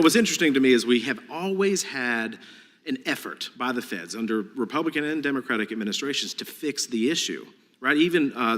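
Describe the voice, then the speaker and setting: A congressman questioning a witness in an emphatic, frustrated way, often repeating words. His voice sits at an ordinary male pitch. A desk microphone picks him up with long reverb from a large hearing room. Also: fairly bright tone.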